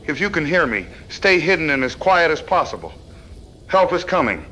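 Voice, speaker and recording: An actor playing an earnest town official giving emergency instructions in an audio drama, formal, orderly and grave. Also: male voice, mid-range voice, bright, forceful tone, low-quality recording. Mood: urgent, cautioning. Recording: faint noise behind voice.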